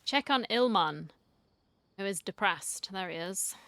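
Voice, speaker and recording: A woman streamer with a bright, forceful voice at a medium pitch. She reacts to a game in a casual, sympathetic way, recorded dry with no room sound. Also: animated, measured pace, dry recording. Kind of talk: casual reaction commentary while playing.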